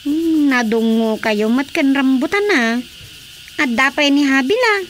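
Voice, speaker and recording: A woman's voice: high voice, animated, a little slow, a little hiss or hum. An actress with an Ilocano accent playing a reasonable married woman, chatting and musing in a radio drama. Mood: curious.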